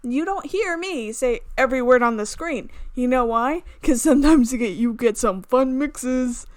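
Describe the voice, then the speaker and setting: A woman with a slightly high voice hosts a gaming playthrough, reading a visual novel aloud. She is playful, drops in casual asides and often trips over a word before fixing it. The sound is dry, with very little room. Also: normal speed, dry recording. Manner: playful, stumbles and corrects words while reading. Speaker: gaming channel host.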